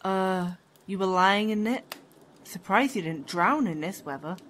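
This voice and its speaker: A woman's voice: mid-range voice, animated, unhurried, a bit bright, a little hiss or hum. A woman playing a blunt, sarcastic female dwarf hunter in a fantasy audio drama. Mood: brash, sardonic. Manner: blunt, sardonic.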